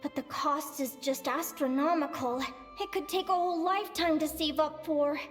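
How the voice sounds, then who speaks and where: A woman's voice: high-pitched, fairly even intonation, normal speed, soft background music, lightly acted. A woman voicing an eager, dreamy young female adventurer in a video game, bubbly and wide-eyed as she talks to herself. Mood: wistful, curious. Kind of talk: video game voice acting, monologue.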